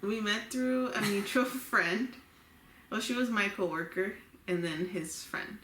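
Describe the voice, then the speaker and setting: A woman's voice: mid-range voice, a little slow, a bit bright. A woman in her late twenties from Los Angeles, co-hosting a couple's Q&A vlog and telling stories in an easygoing, giggly way. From Los Angeles, USA. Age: 20s. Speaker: vlogger co-host. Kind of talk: casual couple Q&A chat. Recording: echoey room with faint hiss.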